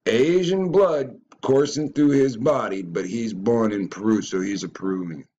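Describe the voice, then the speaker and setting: A man's voice: on the low side, normal speed, mellow, muffled recording. A man giving a ranting reaction commentary on a video, stumbling and repeating words as he goes.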